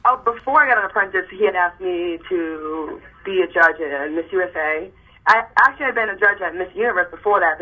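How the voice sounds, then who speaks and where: A young woman with a raspy voice, tired from little sleep, chatting as an interview guest and rambling with many restarts. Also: lowish voice, narrow, tinny audio. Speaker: interview guest, model and pageant host. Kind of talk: casual chat.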